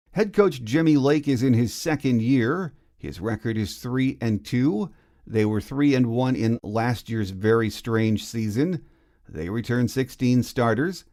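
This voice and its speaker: A male podcast host presenting a sports preview. He speaks at a middling pitch, in the manner of someone reading prepared show notes. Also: darkish tone. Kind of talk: scripted sports preview narration.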